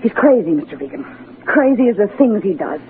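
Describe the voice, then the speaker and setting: A woman's voice: mid-range voice, sing-song, brisk, natural voice. Imperious, needy wife, female actor, dramatic 1940s radio delivery, muffled slightly noisy recording. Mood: bitter. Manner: dramatic, insistent. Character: bored, imperious, needy femme fatale wife. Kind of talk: 1940s radio melodrama acting. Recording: dull 1940s radio recording, light noise.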